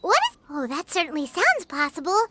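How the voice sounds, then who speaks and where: A woman voicing a tiny, excitable childlike fairy companion in a video game, squeaky and chattery. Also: brisk, loud music behind the voice. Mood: flustered, curious. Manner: chattery, refers to self by name. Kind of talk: cartoonish video game voice acting.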